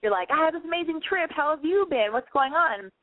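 A woman hosting a call-in advice show talks quickly in a higher, bright register. She gives chatty, emphatic big-sister advice. Her voice comes through thin and tinny, like a phone line. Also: expressive, no echo. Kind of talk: casual advice call-in chat.

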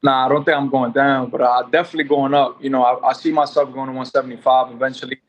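A man's voice: mid-range voice, flat delivery, fast talker, dull audio. A man in his twenties from New York, a boxer guest talking in a casual, rambling way with many fillers and restarts. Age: 20s. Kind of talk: casual interview chat.

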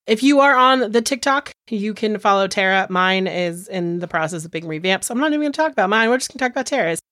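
A woman's voice: mid-range voice, lively intonation, fast, fairly bright tone, no echo, studio quality. A woman co-hosting a casual podcast, chatty and rambling, sounding congested from a cold. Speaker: podcast co-host. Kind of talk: casual chat.

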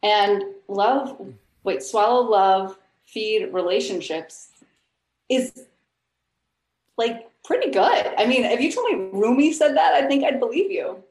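A woman's voice: a little high, animated, takes it slowly, darkish tone. Easygoing woman guest in a roomy echo. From Los Angeles. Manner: friendly, easygoing. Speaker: musician guest. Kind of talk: casual interview chat.

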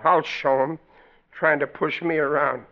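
High-pitched blustering American family man. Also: steady tone, unhurried, creaky, soft background music. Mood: indignant, stubborn. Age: middle-aged. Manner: blustering, emphatic, uneducated diction. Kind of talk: 1940s American radio sitcom acting. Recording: dull, noisy old radio recording.

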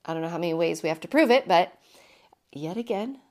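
A woman in her thirties hosting her own podcast, talking casually with emphatic repetition, her voice hoarse and deepened from illness. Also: animated, fast, a little mellow. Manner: chatty, emphatic, repeats herself. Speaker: podcast host. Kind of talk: casual solo podcast monologue.

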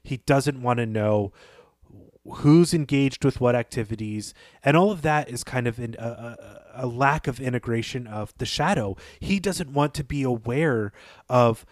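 A man co-hosting a podcast, talking through a story in a thoughtful, analytical way with frequent pauses. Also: medium pitch, dry recording, studio quality. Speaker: podcast co-host. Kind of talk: literary discussion podcast.

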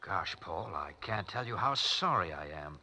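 A man's voice: low voice, a little slow, exaggerated voice. A man playing a remorseful young friend who apologizes for a prank, in a 1940s radio drama. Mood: apologetic. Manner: apologetic, earnest, lively.

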